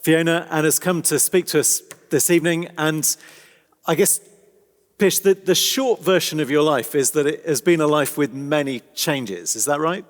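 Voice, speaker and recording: A man's voice: a little high, moderate pace. Polite male interviewer, slightly raised voice, long church-hall echo. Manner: courteous, gently probing. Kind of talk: interview questions. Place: large church.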